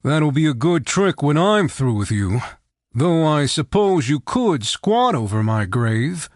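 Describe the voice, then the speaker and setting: A man's voice: fairly high pitch, very animated, takes it slowly, no room sound. A man voicing a cunning, ruthless male adventurer who speaks with dry, cold sarcasm. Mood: cold menace.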